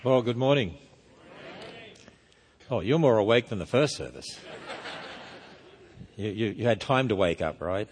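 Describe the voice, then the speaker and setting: A man's voice: lowish voice, expressive, unhurried, long pauses, a little mellow, slight background noise. A man with an Australian accent giving a sermon to a church congregation on a handheld mic, folksy and dropping joking asides. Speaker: guest speaker. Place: church sanctuary. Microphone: wireless handheld microphone. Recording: reverberant hall.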